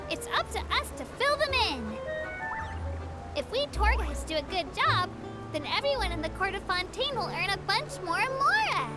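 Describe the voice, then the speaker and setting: A woman voicing a cheerful, childlike little creature who works as an eager tour guide in a video game. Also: very high voice. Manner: earnest, chirpy, childlike. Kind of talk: scripted video game dialogue.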